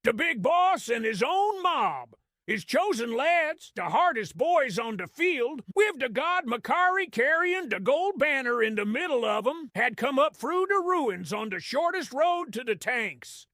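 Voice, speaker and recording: A man's voice: very high-pitched, sing-song, unhurried, pushed and bright, dry recording, clean studio sound, full character voice. A man voicing a small, sly goblin storyteller, narrating an audio drama in slangy, dramatic fashion. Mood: confiding, proud. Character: small scrappy male grot goblin storyteller, sly, awed, gossipy.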